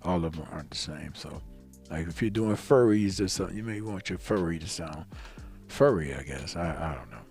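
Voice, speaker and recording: A man's voice: low voice, fairly quick, gravelly, darkish tone, faint music behind. A man giving a casual step-by-step tutorial as a video creator, rambling and often repeating himself.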